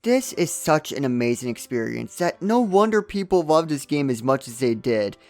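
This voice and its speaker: A man's voice: medium pitch, very animated, normal speed, darkish tone. A man narrates a scripted game review as the host of the video. He is wry and jokey, poking fun at himself in comedic asides. Music plays behind his voice. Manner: wry, joking, self-deprecating.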